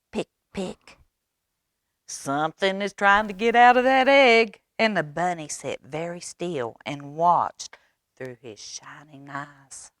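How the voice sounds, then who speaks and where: A woman reading a children's story aloud expressively, with dramatic pauses and coaxing questions to young listeners. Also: on the low side, a bit bright, dry recording, studio quality. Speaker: library storytime reader.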